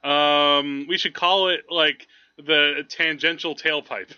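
A man co-hosting a podcast, chatting casually and jokily about movies, quick to laugh. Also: on the high side, fairly even intonation, bright, forceful tone, smooth, dull audio. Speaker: podcast co-host.

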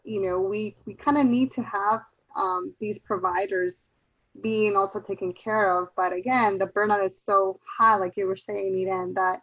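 A woman's voice: mid-range voice, fairly even intonation, normal speed. A woman talks in a casual discussion, hesitating often and filling the gaps with um and you know. Her recording sounds somewhat dull and lo-fi. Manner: hesitant, many fillers. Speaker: panelist.

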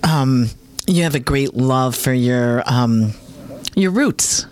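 A woman's voice: rumbling low, lively intonation, takes it slowly, a little mellow. A woman hosting an interview, chatty and encouraging, who promotes an upcoming event and laughs often. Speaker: host. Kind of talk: community talk show interview.

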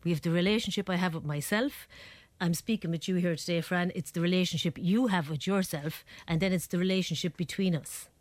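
A woman's voice: fairly low pitch, fairly quick, no echo. A woman, a psychotherapist guest on a radio interview, explaining earnestly while getting over a head cold. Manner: earnest, explanatory, frequent tag questions.

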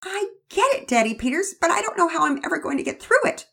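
A woman's voice: very high-pitched, very animated, fast, vocal fry, bright, forceful tone, voice pushed up, exaggerated voice. A woman voicing an anxious, tearful little girl in second grade, whining and stammering as she pleads. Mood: sulky.

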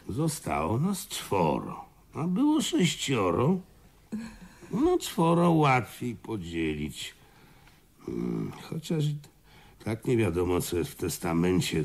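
An elderly uncle in a radio play with a creaky, husky voice. He speaks slowly and hesitantly, repeating himself and muttering, nervous while claiming to be composed. His delivery swings widely up and down. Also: deep voice, a little mellow, exaggerated voice. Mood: musing, calculating. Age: older. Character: nervous elderly uncle who professes stoic calm. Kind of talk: radio drama acting.

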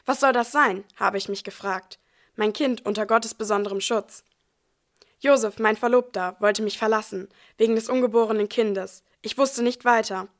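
A woman's voice: on the high side, steady tone, moderate pace, close to an everyday voice. A woman playing a devout, ordinary young expectant mother who tells her story in a scripted nativity audio play. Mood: wondering, subdued.

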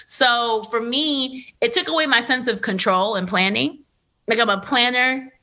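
A woman in her thirties talks candidly on her podcast, animated and full of fillers. Her voice sits a little high and bright. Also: measured pace. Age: 30s. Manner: animated, filler-heavy, laughs often. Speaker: podcast host. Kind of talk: candid conversational storytelling. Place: Los Angeles area.

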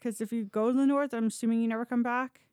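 Higher-voiced woman telling stories casually. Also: steady tone, quick pace, darkish tone, no room sound, clean studio sound. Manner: informal, blunt, swears freely. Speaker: podcast storyteller and host. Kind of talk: casual conversational storytelling.

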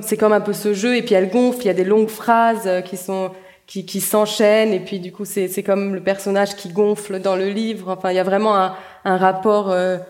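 A woman author speaks in a reflective interview, searching for her words as she goes. She hesitates often and restarts her sentences, pausing to think before each new idea. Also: medium pitch, darkish tone. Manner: hesitant, many restarts and fillers. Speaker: novelist guest.

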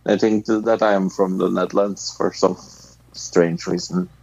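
A man chatting casually and haltingly, searching for words, on a dull lo-fi mic. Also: low voice, flat delivery, vocal fry, darkish tone. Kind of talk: casual chat.

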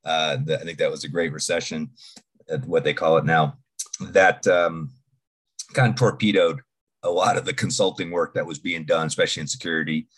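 Very low-voiced man in his 40s from Boston, halting podcast guest who often restarts his sentences. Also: steady tone, a bit bright, husky. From Boston, United States. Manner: halting, restarts and repeats words. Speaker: podcast guest, security executive. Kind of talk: casual interview talk.

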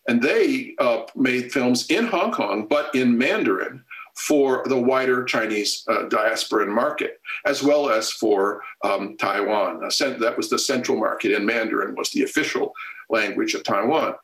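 A man answering interview questions at length in a measured, lecture-like way, with frequent pauses and fillers. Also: mid-range voice, fairly bright tone, roomy sound, poor audio. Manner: measured and expository, many fillers and restarts. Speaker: film scholar guest.

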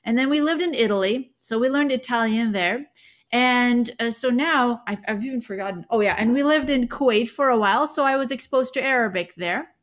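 A woman's voice: on the high side, brisk. A woman with a slight Indian accent, a physician guest on a podcast, explaining with fluent enthusiasm. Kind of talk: conversational podcast interview.

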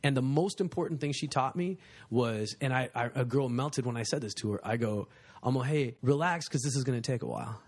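A man talking in a brash, animated way, joking through casual chat on a podcast. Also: medium pitch, a little fast, husky. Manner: brash and animated, jokes a lot.

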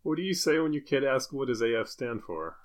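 A man co-hosting a podcast in casual banter, joking in a deadpan way. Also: medium pitch, fairly quick. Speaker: podcast co-host.

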